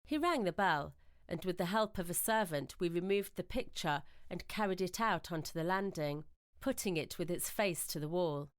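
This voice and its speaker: A woman reading scripted ghost-story narration in a measured, literary, suspenseful manner, as in a radio drama. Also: lowish voice, lively intonation, no echo, studio quality.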